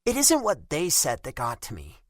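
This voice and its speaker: A man's voice: fairly high pitch, wildly swooping pitch, normal speed, no echo, studio quality, pitched above the performer's own voice. A man voicing an irritated young American woman who talks bluntly and with sarcastic bite. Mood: angry. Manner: sharp, sarcastic, cutting.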